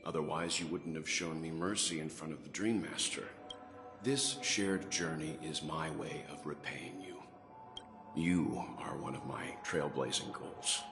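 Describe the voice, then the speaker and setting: An actor playing a calm, dignified, mature gentleman traveler in a video game, composed and courteous. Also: very deep voice, creaky, echoey room, in a large hall, full character voice. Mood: reassuring. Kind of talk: dramatic video game dialogue.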